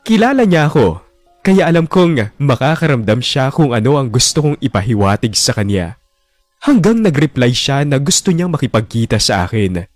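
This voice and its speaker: A man's voice: mid-range voice, big pitch swings, moderate pace, no echo. A man narrating a heartbroken first-person love story in a radio drama. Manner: brooding, heartbroken confession. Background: soft music bed.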